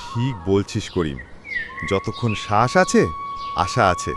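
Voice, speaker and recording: A man's voice: fairly low pitch, wildly swooping pitch, a little slow, music in the background. A man playing a frustrated yet hopeful poor village labourer in a cartoon, plaintive and questioning. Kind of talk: acted cartoon dialogue.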